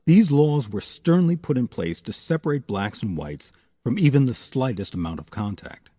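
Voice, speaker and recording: A man's voice: mid-range voice, very animated, normal speed, dark tone, breathy edge, no room sound, studio quality. A man reading scripted documentary narration in a serious, emphatic manner. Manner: serious, emphatic list-making. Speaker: narrator and host.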